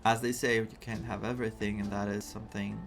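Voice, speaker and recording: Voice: mid-range voice, flat delivery, quick pace, music in the background. A streamer giving casual, reflective and emotive commentary during a story game playthrough, sometimes reading dialogue aloud.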